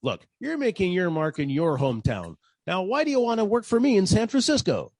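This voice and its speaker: An actor playing a weary, sarcastic private detective with a husky voice and an exasperated manner, in a 1940s style radio comedy. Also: male voice, high-pitched, big pitch swings, fairly quick, a little mellow, dry recording, studio quality, heavily acted. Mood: sardonic, dismissive.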